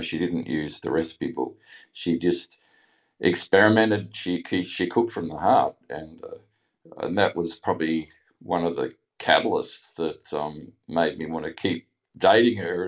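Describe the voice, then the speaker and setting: Middle-aged Australian man, very deep, halting casual talk on a call. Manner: halting, many ums and restarts. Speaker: caller. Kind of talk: casual chat.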